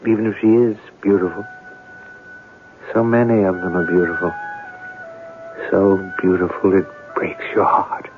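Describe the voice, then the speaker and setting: An actor playing an earnest, romantic newspaper reporter in a radio mystery, with a deliberate, theatrical delivery. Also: male voice, sits low, gravelly. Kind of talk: 1970s radio drama narration and dialogue. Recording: tinny, noisy old radio broadcast.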